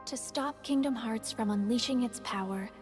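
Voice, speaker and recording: A woman playing an earnest young game heroine, recounting her friends' battles in measured, solemn narration. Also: a little high, darkish tone, faint music behind, lightly acted. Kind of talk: scripted story recap narration.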